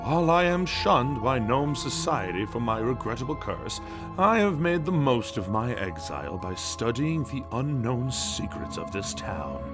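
A man's voice: mid-range voice, big pitch swings, measured pace, over music. A man voicing an eccentric, obsessive old gnome who narrates a cartoon comic dub in a grandiose, dramatic way. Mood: grand, revelatory. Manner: grandiose, dramatic, storyteller phrasing.

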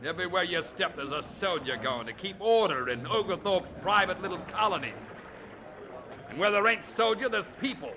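An actor playing a gruff, grumbling ship captain with rough working-class speech in a radio drama. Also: male voice, sits high, animated, normal speed, background noise. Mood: surly.

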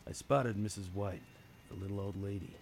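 An actor playing a world-weary, hard-drinking detective in a noir radio play, with wry, understated delivery. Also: male voice, lowish voice, steady tone, moderate pace, gravelly, darkish tone, a little hiss or hum. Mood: wry, brooding. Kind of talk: noir radio drama narration.

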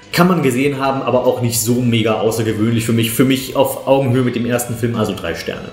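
A man gives casual, opinionated film reviews in a conversational way. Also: mid-range voice. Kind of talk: casual spoken review to camera. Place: small living room, on a sofa. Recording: roomy indoor echo, music behind.

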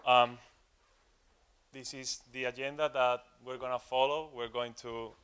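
Smooth-voiced man giving a conference talk. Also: mid-range voice, flat delivery, unhurried, a bit bright. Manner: hesitant, many fillers and repeated words. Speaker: conference speaker. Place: conference room with audience.

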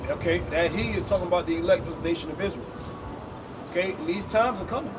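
A man's voice: high-pitched, fast talker, recorded from a distance, background noise. A man street preaching outdoors, blunt and profane, mocking his listeners between warnings. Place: outdoors on a city sidewalk.

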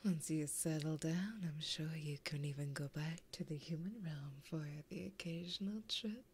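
A woman voicing a flirty, possessive, taunting female demon in an ASMR roleplay, breathy and often whispering in a teasing, intimate way, close to a binaural mic. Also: sits low, moderate pace, a little hiss or hum. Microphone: close binaural microphone.

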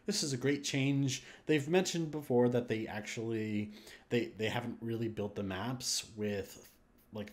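A man hosting a gaming video, giving casual update commentary and thinking aloud as he speculates. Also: medium pitch.

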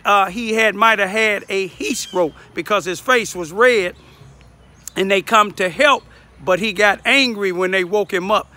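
Bright, high-pitched older man. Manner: emphatic, repeats himself for emphasis. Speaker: local citizen reporter. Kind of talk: informal selfie vlog commentary. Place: outdoors in a backyard. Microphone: phone at arm's length.

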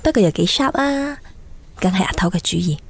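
An actress in her twenties playing an earnest, apologetic, lovestruck young woman in a radio drama, chatty and expressive. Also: lowish voice, brisk, slightly rough. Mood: musing. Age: 20s. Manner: chatty, self-chiding, expressive. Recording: background music under studio drama dialogue.

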